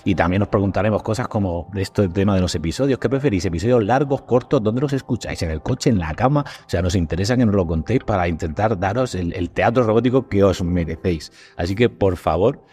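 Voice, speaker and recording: A man's voice: on the low side, fast, hardly any pauses, a little mellow. A man with an accent from Spain hosting a podcast, chatting casually in informal, slangy language. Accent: Spanish from Spain. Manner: informal, colloquial, with slangy swearing. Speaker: podcast host.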